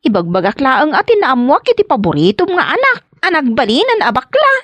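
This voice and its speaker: A woman's voice: sits high, wildly swooping pitch, brisk, fairly bright tone, no room sound, full character voice. Frail, fretful old mother. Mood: moaning, indignant. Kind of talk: comedy radio drama acting.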